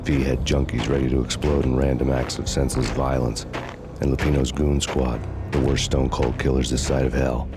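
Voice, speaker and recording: An actor playing a world-weary, bitter fugitive cop in a video game, narrating in a deadpan, sardonic noir style. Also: male voice, rumbling low, moderate pace, over music. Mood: brooding, deadpan. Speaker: narrator and lead character.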